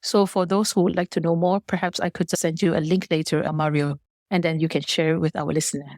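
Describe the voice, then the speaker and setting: Female business guest from Malaysia, lowish voice, formal explanatory delivery, dry close sound. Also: flat delivery, fairly quick, a little mellow, no room sound. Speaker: podcast guest, business partner and founder. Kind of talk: prepared, explanatory interview answers.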